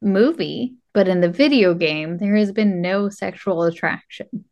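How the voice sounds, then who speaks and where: A woman's voice: medium pitch, a little slow, darkish tone, lo-fi sound. A woman co-hosting a podcast with playful, joking, casual banter. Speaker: podcast co-host.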